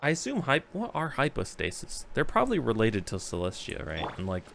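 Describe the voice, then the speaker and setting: Animated, creaky-voiced man streaming a game. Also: mid-range voice, fairly quick, background noise. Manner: profane, repeats short phrases. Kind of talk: casual gameplay commentary.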